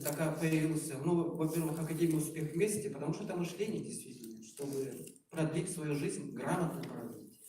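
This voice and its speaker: A man in his forties with a fairly high voice speaks to a room as a doctor giving an informal testimonial. His delivery is halting but emphatic, with some self-irony. The sound is heavily echoed and picked up far from the microphone. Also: a little mellow, slight background noise. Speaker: doctor speaking at a promotional presentation. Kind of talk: informal public testimonial talk. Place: echoey indoor presentation room.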